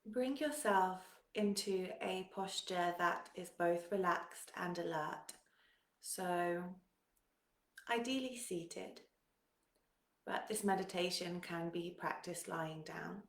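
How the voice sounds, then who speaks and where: A woman guides a meditation very slowly, with generous pauses between phrases. Her voice sits fairly low and has a creaky edge. She sounds calm and soothing as she gives gentle instructions. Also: reverberant.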